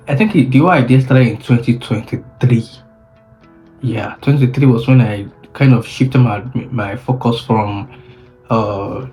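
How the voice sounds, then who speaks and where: A man's voice: mid-range voice, a little mellow, low-quality recording. A young man from Nigeria with a Nigerian English accent, telling his own story in a casual vlog and often restarting his sentences. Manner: unhurried, with frequent restarts and self-corrections. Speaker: vlogger.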